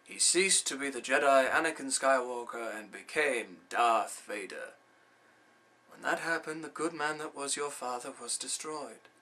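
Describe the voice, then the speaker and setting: A man performing a film monologue as an old, wise Jedi mentor, grave and wistful, with a measured storytelling manner. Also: medium pitch, animated, pushed and bright.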